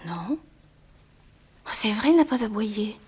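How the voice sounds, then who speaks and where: An actress playing a composed, frank but guarded young bereaved daughter in a 1960s French radio drama, with measured theatrical diction. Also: female voice, medium pitch, lively intonation, darkish tone, husky. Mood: thoughtful.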